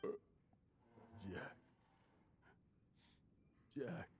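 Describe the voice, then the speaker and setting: An actor playing a weary, guilt-ridden old drunken adventurer in a video game, with a gravelly voice and dramatic, halting delivery. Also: male voice, noisy background. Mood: sorrowful. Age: older.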